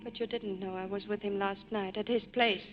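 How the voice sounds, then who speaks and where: A woman's voice: medium pitch, steady tone, brisk, natural voice. A woman playing a distressed, devoted woman in love in a 1940s radio drama. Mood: earnest, sad. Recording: dull, faintly noisy vintage radio recording.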